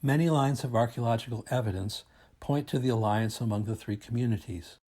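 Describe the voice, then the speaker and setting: A man reading a scripted academic presentation aloud in a formal, measured and deliberate manner. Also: medium pitch, darkish tone, slightly rough. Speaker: academic researcher presenting a paper.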